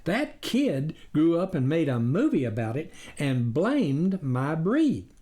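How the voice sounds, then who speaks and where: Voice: mid-range voice, big pitch swings, slow pace, mellow. A reader voicing a sly wolf-like stranger in a storybook satire, sweet-talking and preaching with indignant persuasion. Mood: persuasive.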